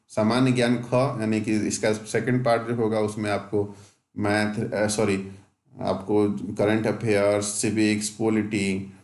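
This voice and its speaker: A man's voice: lowish voice, darkish tone. A man with a North Indian accent explaining details in an informal, instructional way, as a coaching teacher. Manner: instructional, often asks a question then answers it. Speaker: exam coaching teacher and channel host. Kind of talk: informal explanatory lesson, reading out details with comments. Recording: echoey, roomy home-made recording.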